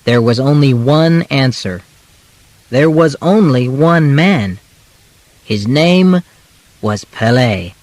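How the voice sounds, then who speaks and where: A man reading a scripted audiobook in simple, measured, clearly phrased short segments. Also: medium pitch, no room sound. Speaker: audiobook narrator. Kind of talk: scripted narration of a simplified biography for learners.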